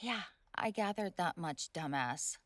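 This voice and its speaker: A woman's voice: on the low side, lively intonation, unhurried. Woman acting a haughty, hot-tempered rich college girl. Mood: indignant, disgusted. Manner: indignant, snobbish, sweary, stammers when flustered. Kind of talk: scripted roleplay audio drama, one side of a dialogue.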